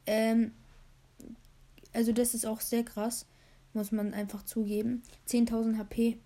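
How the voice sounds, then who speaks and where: Young female podcast host, hoarse, chatty, fairly high voice, rambles with fillers. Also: level tone, a little slow, warm tone. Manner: rambling, many fillers, playing a game while talking. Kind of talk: casual gaming podcast chat.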